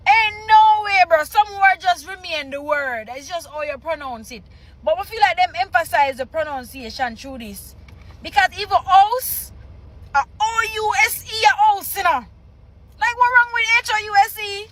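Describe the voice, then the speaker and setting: A woman's voice: extremely high pitch, moderate pace, fairly bright tone, poor audio. A Jamaican woman reacting to a text and reading it aloud, incredulous and laughing, repeating herself for emphasis. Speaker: reaction video host.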